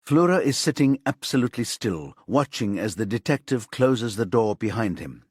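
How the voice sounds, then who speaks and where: A man narrating a thriller audiobook in a measured, suspenseful storytelling manner. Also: medium pitch, animated, a little mellow, breathy edge, no echo, clean studio sound.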